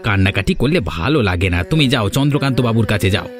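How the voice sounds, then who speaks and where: A man's voice: medium pitch, expressive, racing, natural voice. A man voicing a matter-of-fact village man in a cartoon fairy tale, blunt and chiding as he advises. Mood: blunt, advising.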